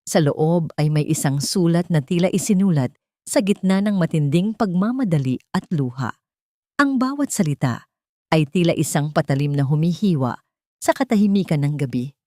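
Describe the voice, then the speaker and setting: A woman's voice: low-pitched, animated, normal speed, mellow, no echo, clean studio sound. A woman narrating a scripted audio drama in a solemn, melodramatic manner.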